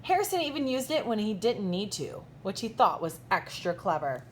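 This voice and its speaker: A woman teacher reads a children's picture book aloud with lively, swinging intonation. She emphasises key words and pauses to question her young listeners. Faint noise sits behind her voice. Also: medium pitch. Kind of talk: expressive children's storytime read-aloud with asides.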